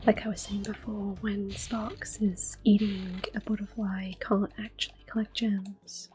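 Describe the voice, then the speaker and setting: A woman giving soft-spoken ASMR game commentary in a gentle, breathy, often whispered murmur. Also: mid-range voice, flat delivery, measured pace, faint music behind. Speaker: gameplay host.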